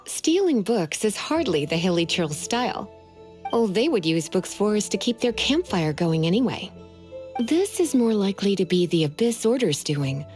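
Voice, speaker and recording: A woman's voice: mid-range voice, sing-song, moderate pace, a little mellow, close to an everyday voice. A woman acts a flirtatious sorceress librarian from a video game. Her delivery swings playfully up and down, coy and self-assured with a hint of menace, over background music. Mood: composed, confident. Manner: teasing, coy, uses pet names.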